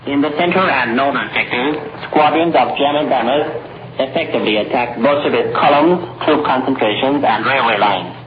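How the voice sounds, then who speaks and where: A man reading a scripted 1940s radio news bulletin in a formal, measured announcer's delivery. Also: medium pitch, a crowd in the background. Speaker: radio newsreader. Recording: noisy, dull 1940s shortwave radio recording.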